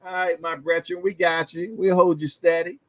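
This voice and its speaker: A man's voice: fairly high pitch, level tone, normal speed, a bit bright, dry recording. A man in his fifties hosting a boxing livestream, chatting casually and reading viewer comments, chuckling and repeating phrases with slangy banter. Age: 50s.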